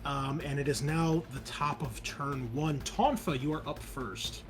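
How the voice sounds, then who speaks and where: A man's voice: a little high, measured pace. A man working as game master, narrating a tabletop role-playing game in a conversational way and thinking aloud as he makes rulings. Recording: music playing under the voice. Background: game background music.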